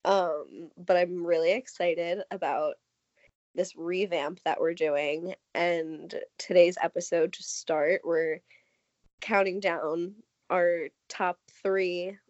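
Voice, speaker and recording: A woman's voice: on the low side, fairly even intonation, takes it slowly, darkish tone, no echo. A woman co-hosting a podcast, nasal and scratchy from a cold, rambling with a constant "like". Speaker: podcast co-host. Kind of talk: casual podcast chat. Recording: dry, slightly dull lo-fi audio.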